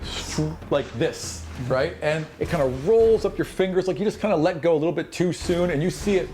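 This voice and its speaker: A man acting as game master, improvising narration in a casual, halting, colloquial way. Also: fairly high pitch, darkish tone. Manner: halting, colloquial descriptions with spoken sound effects.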